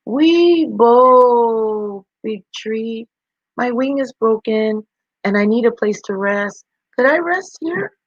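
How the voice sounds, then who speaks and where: Storyteller doing a tiny hurt boy bird, higher voice, humble hopeful sing-song begging, kids story. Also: expressive, takes it slowly, no room sound, dull audio. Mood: pleading. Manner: polite, sing-song pleading. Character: small injured male bird, pleading, humble, hopeful.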